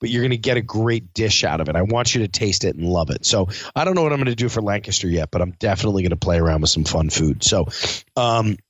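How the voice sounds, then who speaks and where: A man's voice: lowish voice, expressive, quick pace, no room sound, highs cut off. A man who works as a chef, talking casually with lots of fillers and restarts, a bit stuffed up from a cold. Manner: rambling, frequent fillers and restarts. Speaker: chef and podcast host. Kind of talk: casual spoken recap.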